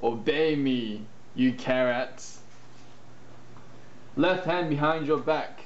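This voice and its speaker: A man's voice: mid-range voice, slow pace, reverberant, highs cut off, close to an everyday voice. A man voicing a pompous carrot emperor in an amateur puppet show. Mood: commanding. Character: stern, bossy male carrot emperor.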